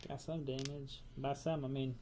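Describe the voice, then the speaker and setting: A hoarse man playing a tabletop card game as host, teasing his opponent, swearing and laughing often. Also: medium pitch, level tone, normal speed, dark tone. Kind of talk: casual banter during tabletop card game.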